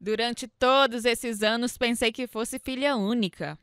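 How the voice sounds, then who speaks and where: An actress with a Brazilian accent playing an eager, emotional long-lost younger sister in a radio drama. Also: female voice, a little high, measured pace, a bit bright, clean tone, no room sound, studio quality. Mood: eager surprise.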